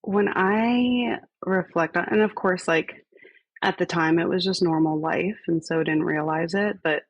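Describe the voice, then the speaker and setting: A woman in her thirties talks as a podcast guest, relaxed and reflective, pausing often with fillers. Her voice carries a gravelly, creaky edge. The recording is dry and a bit dull in tone. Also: lowish voice, no echo. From grew up on a base in Spain. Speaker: guest, fire department fitness coordinator. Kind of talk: conversational podcast interview.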